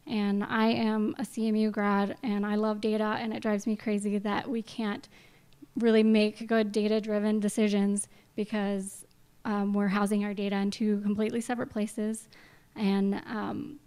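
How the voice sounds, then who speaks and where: A woman's voice: medium pitch, little pitch movement, normal speed, a little mellow, clear, resonant tone. A woman giving an informal spoken presentation as a representative of a non-profit.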